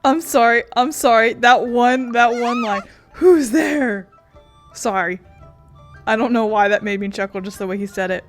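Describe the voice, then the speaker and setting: A woman's voice: on the high side, moderate pace, soft background music. A woman streaming a game, laughing and reading the player's lines aloud. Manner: giggly, reacts aloud. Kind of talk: let's play commentary.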